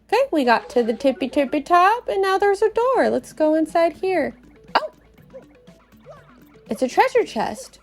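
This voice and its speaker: High, animated woman narrating a game. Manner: playful, expressive, cutesy exclamations. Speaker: podcast host and video game player. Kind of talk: playful narrated let's play, storytelling. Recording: music behind the voice.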